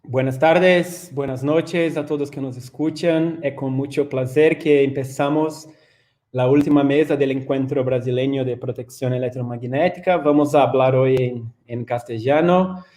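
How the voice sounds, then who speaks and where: A man whose first language is Portuguese, with a Brazilian accent, moderating a panel and introducing guests in halting Spanish. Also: mid-range voice, steady tone, mellow. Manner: hesitant, halting, with fillers.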